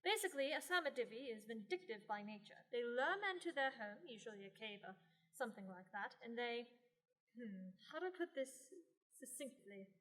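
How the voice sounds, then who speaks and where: Young woman playing a high-voiced, sardonic ageless sorceress. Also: animated, moderate pace, fairly bright tone. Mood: ominous. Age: young adult. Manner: sardonic, theatrical asides. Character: long-lived sorceress woman, blunt, dry, evasive. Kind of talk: scripted radio play acting.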